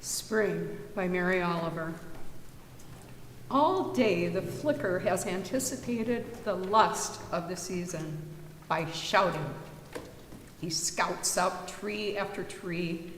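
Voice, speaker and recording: A woman presenting and reading poetry aloud to an audience, with expressive, measured delivery. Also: on the low side. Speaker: readers theater presenter and poetry reader. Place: reverberant church, live reading. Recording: roomy church hall, clear background noise.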